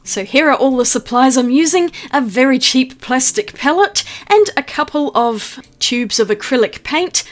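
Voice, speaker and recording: A woman from New Zealand, an art vlogger narrating a voice-over with a chatty, upbeat manner, explaining each step. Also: on the high side.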